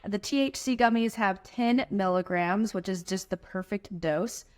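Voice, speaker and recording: A woman's voice: medium pitch, normal speed. A woman with an American accent reading a sponsor message in a conversational podcast style. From Kentucky, US. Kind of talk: conversational ad read.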